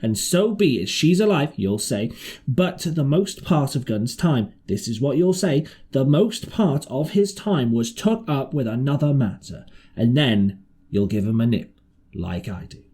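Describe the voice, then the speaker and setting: A man's voice: medium pitch, animated, a little slow, mellow, husky. A man voicing a shrewd, half-crazed marooned seaman in an audiobook, with rustic, ungrammatical, repetitive sailor talk. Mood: insistent, confidential. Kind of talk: audiobook character voice.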